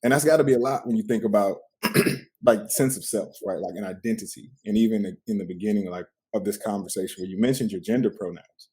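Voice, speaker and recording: A man's voice: on the low side, quick pace, darkish tone, breathy edge. A man hosting a podcast, talking in a reflective, casual way and often checking in with "right?". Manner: reflective, peppers talk with "like" and "right?". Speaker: podcast host. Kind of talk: casual conversational interview.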